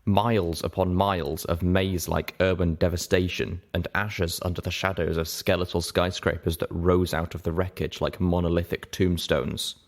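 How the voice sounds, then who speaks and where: Very deep-voiced man narrating a story. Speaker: audiobook narrator. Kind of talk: scripted story narration in first person.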